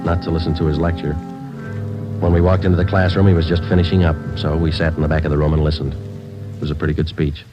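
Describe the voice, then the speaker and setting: An American man playing a terse, deadpan police detective, narrating in clipped 1940s radio crime drama style. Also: extremely low pitch, quick pace. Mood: flat, matter-of-fact. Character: dry, businesslike male police detective narrating his cases. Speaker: narrator and lead actor. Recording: muffled old 1940s radio recording, music behind.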